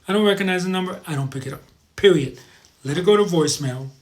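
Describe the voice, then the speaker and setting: Higher-pitched man hosting a casual livestream. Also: fast talker. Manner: rambling, repeats advice for emphasis; informal, addresses viewers as guys. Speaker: live show host. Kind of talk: casual livestream chat, reading viewer comments.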